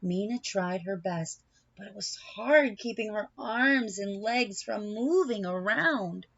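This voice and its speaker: A woman reads a picture book aloud at a slow, deliberate pace. Her delivery is expressive and aimed at young children. The recording is dry and slightly dull. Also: medium pitch, gravelly, no room sound. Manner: deliberate, animated storytelling with asides to young listeners. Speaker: storybook reader.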